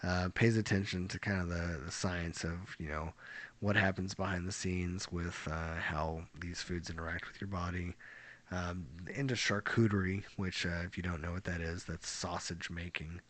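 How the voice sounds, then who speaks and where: Male podcast host, very low voice, easygoing kidding banter. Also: moderate pace. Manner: joking, relaxed, with chuckles. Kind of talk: casual chat.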